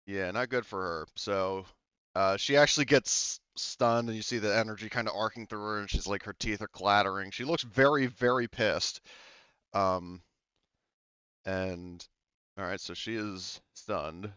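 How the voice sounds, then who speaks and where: A man's voice: on the low side, a little slow, bright, forceful tone, clean tone, no echo, lo-fi sound. A man acting as game master in a tabletop game, chatty and loose, with lots of fillers and the odd swear. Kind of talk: running a tabletop game, casual.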